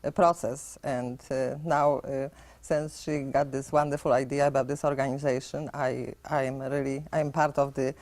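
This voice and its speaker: Halting, hesitant woman guest. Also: a bass voice, level tone, a little mellow. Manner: hesitant, halting with many fillers. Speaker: guest, board member. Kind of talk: morning TV interview. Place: TV studio.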